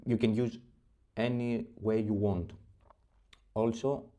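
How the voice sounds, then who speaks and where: Greek man, non-native English, low-set voice, calm unhurried walkthrough of a spreadsheet. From Greece. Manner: methodical step-by-step explaining with frequent pauses and fillers. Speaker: spreadsheet template creator. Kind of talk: screen-recorded software tutorial.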